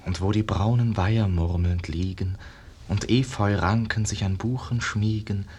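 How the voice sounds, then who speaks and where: An actor playing a brooding painter's pupil in a 1950s German radio verse drama, declaiming with solemn, poetic intensity. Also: male voice, on the low side, steady tone, unhurried, a little hiss or hum, lightly acted. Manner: solemn poetic declamation, swelling from hush to rapture. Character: brooding, world-weary male Renaissance painter's pupil.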